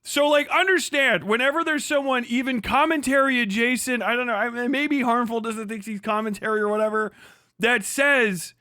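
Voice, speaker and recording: A man's voice: very high-pitched, moderate pace, pushed and bright, no room sound, clean studio sound. A man hosting a livestream, delivering a profane, mocking commentary rant and laughing often. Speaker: livestream host.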